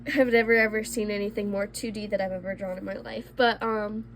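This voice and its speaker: A woman's voice: medium pitch, flat delivery, a little fast, a little hiss or hum. A young woman, a little congested from allergies, chatting casually and self-deprecatingly as she shows her old drawings. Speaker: art vlogger. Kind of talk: casual sketchbook tour.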